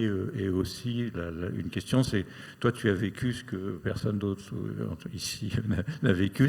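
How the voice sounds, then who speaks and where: A man's voice: lowish voice, gravelly, a little mellow. A man moderating a festival panel, thoughtful and searching for his words as he introduces the topic and the guest. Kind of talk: panel discussion. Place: festival screening hall. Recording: long hall reverb.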